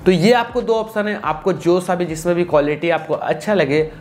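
A man's voice: high voice, fairly even intonation, fairly quick, a little mellow. A man, giving a chatty, informal step-by-step tutorial with English tech words mixed in. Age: 20s. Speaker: video tutorial host. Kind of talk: casual step-by-step tutorial to camera. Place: small indoor room with computer setup. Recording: clearly noisy room recording.

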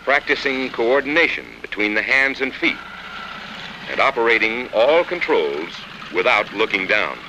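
An American man reading scripted instructional narration for a training film in a measured, instructive manner. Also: mid-range voice, lively intonation. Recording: 1960s film soundtrack, faint noise.

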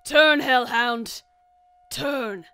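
A woman playing a fierce, vengeful warrior thane in a Shakespearean radio drama, proclaiming each line deliberately. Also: sits high, bright, forceful tone, clean tone, full character voice.

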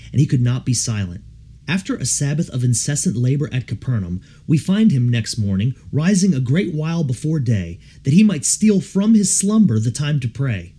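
A man reads a devotional audiobook in a mellow, slightly husky voice of medium pitch. His delivery is measured and solemn, with lively rises and falls that give the scripted text a rhetorical shape. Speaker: audiobook reader. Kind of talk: scripted narration of a devotional book.

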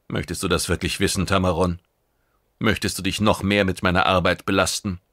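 A man as a cool, self-assured secret operative, low voice. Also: flat delivery, brisk, a bit bright, no room sound, clean studio sound. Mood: cool, insistent. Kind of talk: audiobook character dialogue.

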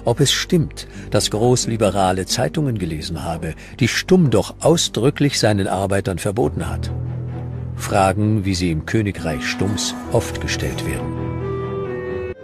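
A man's voice: fairly low pitch, lively intonation, measured pace, music in the background. A man reading scripted narration for a historical documentary.

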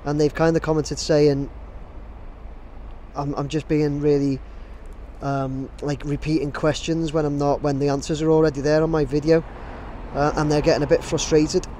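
A man in his thirties talks casually into a camera held at arm's length outdoors, with a fairly high voice that still sounds mellow and dark. He rambles and keeps restarting his sentences. Faint street noise sits behind him. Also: level tone, normal speed. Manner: rambling, many fillers and restarts. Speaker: drone videographer.

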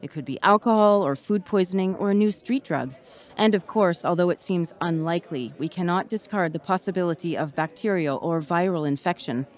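An actress playing a weary but dutiful emergency management officer giving a formal radio interview. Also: female voice, fairly low pitch, fairly quick, natural voice. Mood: measured, official.

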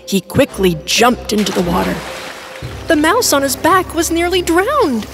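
A woman narrating an animated children's storybook in an expressive storytelling manner. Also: sits high, a little slow, creaky, over music.

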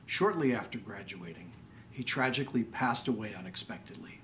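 Bright-voiced older man, noisy recording. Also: fairly low pitch. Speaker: award presenter. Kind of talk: scripted formal announcement.

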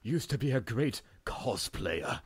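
A man playing a mock-learned documentary expert in a comedy sketch, pompous, deliberate and solemnly absurd. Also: medium pitch, lively intonation, husky, no room sound, clean studio sound.

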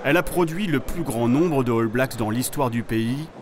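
A man's voice: medium pitch, a little fast, slight background noise. A man reading scripted documentary narration as the narrator. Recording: music behind the voice-over. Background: music.

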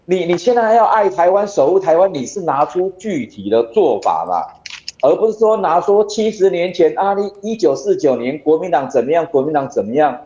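A man's voice: a little high, big pitch swings, a little fast, dark tone. A man of about forty with a Taiwanese accent, giving emphatic political commentary full of rhetorical questions. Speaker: city councillor, guest commentator. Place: indoor room on a video call. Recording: dull, roomy video-call audio.

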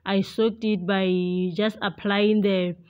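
Slow-talking woman in a casual vlog. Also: mid-range voice, level tone, highs cut off. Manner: hesitant, chatty, addressing viewers as guys. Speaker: vlogger, homemaker.

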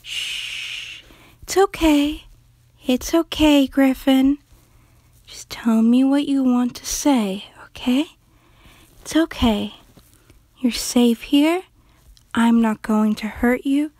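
Woman acting as timid gentle animal soother, breathy, hushed, calm, hesitant, unhurried cartoon voice. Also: high-pitched, no echo. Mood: soothing. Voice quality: soft, breathy, near whisper.

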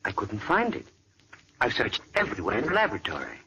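An earnest doctor. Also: male voice, fairly low pitch, wildly swooping pitch, quick pace, gravelly, noisy background, exaggerated voice. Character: earnest male doctor explaining a case. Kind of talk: 1940s radio acting. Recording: old muffled radio recording.

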